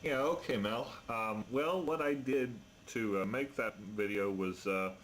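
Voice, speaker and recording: A man explaining casually and haltingly over two-way radio as an amateur operator. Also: medium pitch, a bit bright, slight background noise, rough recording.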